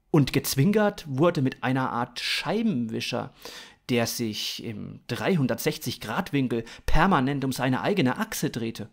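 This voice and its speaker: Man, thirties, story podcast narrator, animated intonation, unhurried pace, dramatic. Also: mid-range voice. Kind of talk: scripted narration of comic science fiction.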